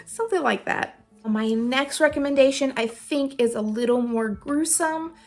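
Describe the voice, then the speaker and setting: A woman's voice: sits high, lively intonation, a little slow. A woman hosting a casual recommendation vlog, talking in an informal, rambling, self-deprecating way with a giggly manner. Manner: giggly, laughs often while talking. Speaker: video host giving game recommendations. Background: music playing under the voice.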